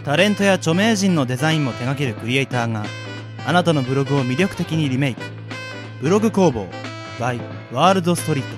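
A man's voice: a little high, lively intonation, quick pace, music in the background. A man co-hosting a radio show, with casual banter and sponsor announcements. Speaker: radio co-host.

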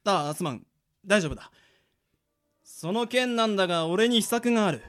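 High-pitched, fervent monster boss. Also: male voice, expressive, moderate pace, fairly bright tone, faint music behind. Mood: determined. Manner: rousing speechmaker, dramatic exclamations. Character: scheming male monster boss, fervent, theatrical, ambitious. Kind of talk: anime-like radio drama acting.

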